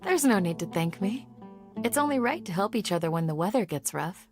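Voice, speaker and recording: A gracious young female guardian voiced by an actress in a video game. Her speech is formal and measured, courteous yet firm, at a middle pitch over faint background music. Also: lively intonation, close to an everyday voice. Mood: gentle.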